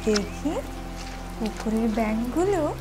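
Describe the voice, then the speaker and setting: A woman narrating a children's story in an expressive, theatrical, sing-song way, taking her time. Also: a little high, slow, over music.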